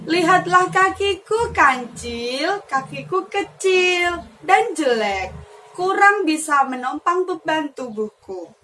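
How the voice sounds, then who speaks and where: A woman voicing a vain, boastful deer in a children's fable, with exclaiming, drawn-out delivery. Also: very high voice, big pitch swings, pushed and bright, heavily acted. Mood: pleading. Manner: exclaiming, drawn-out storybook speech. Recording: distant mic with faint noise.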